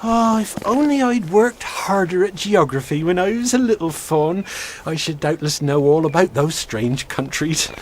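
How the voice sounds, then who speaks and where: A woman's voice: fairly low pitch, animated, measured pace, a little mellow. A woman playing a courteous, nervous, wistful faun in a children's radio drama, with a genteel, flowery, theatrical delivery. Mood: eager, hospitable. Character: courteous, nervous, wistful faun host of a snowy fantasy land.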